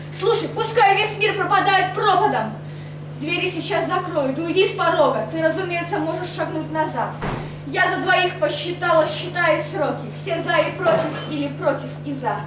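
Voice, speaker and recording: A young woman reading her own poems aloud on a cafe stage, in an intimate, lilting declamation. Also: very high voice. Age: young adult. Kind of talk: poetry recitation. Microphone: far camera mic, no handheld mic. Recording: echoey club room, far mic, audible noise.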